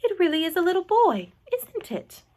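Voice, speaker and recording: Excitable, blustering male fire chief for a storybook, acted by a woman, high and wildly animated, prone to shrieking. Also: normal speed, exaggerated voice. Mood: astonished.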